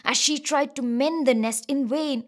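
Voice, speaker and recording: High-voiced woman narrating a children's story. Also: normal speed.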